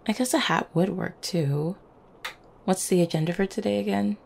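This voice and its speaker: A woman playing an affectionate, playful vampire girlfriend in an intimate ASMR roleplay, teasing gently with small hesitant stammers. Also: medium pitch, normal speed, vocal fry. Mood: casual, affectionate. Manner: soft-hearted, teasing, hesitant little stammers and chuckles.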